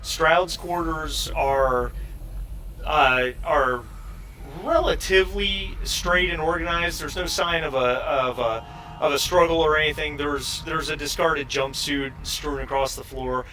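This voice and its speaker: A man working as game master, narrating a tabletop roleplaying game in a halting, searching way. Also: a little high, bright, forceful tone. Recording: slightly distant mic with faint noise.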